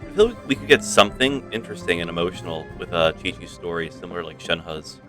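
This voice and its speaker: A man's voice: low-pitched, lively intonation, fast talker, music in the background. A man giving casual live gameplay commentary as a game streamer, sometimes reading dialogue aloud.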